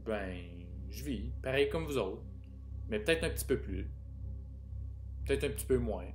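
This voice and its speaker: A man's voice: medium pitch, expressive, moderate pace, faint music behind, natural voice. A man reading as a dreamy teen boy. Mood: musing. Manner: offhand, telling the audience about his life. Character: teenage boy about to finish school, a dreamer. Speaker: reader in a staged play reading. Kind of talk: theatre reading of a monologue.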